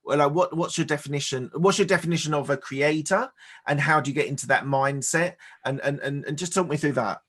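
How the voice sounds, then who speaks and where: A man's voice: a little high, brisk, a bit bright. A man speaking as a podcast host and interviewer, sometimes repeating words as he forms his questions.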